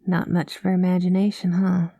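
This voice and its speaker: A woman's voice: lowish voice, completely flat delivery, moderate pace, no room sound, close to an everyday voice. Woman playing a folksy space cowgirl pilot. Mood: easygoing. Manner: folksy drawling cowboy talk, calls listener partner; gentle and soothing. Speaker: ASMR roleplay performer. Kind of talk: ASMR roleplay.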